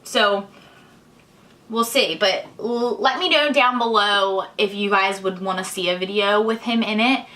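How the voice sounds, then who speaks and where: A young woman, hoarse and congested, chatting casually while she explains each step of her makeup tutorial. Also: medium pitch, a little slow, sharp tone. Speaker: beauty vlogger. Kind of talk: chatty get-ready-with-me tutorial.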